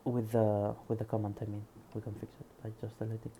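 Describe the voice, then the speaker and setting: Soft-voiced Spanish-accented man reading code notes. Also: lowish voice, monotone, fast talker, slightly rough. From Spain. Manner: reads passages aloud, then muses quietly to himself. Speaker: programmer reading a book aloud. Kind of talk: casual screen-recorded reading and coding.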